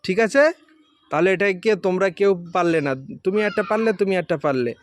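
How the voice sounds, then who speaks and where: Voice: medium pitch, fairly quick, a little hiss or hum. A quiz host, informally questioning children and patiently explaining and repeating the answers.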